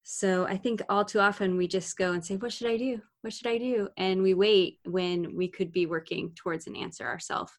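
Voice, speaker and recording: Animated woman hosting a faith chat. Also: medium pitch, normal speed, few pauses. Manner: animated, asks questions. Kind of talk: casual faith discussion.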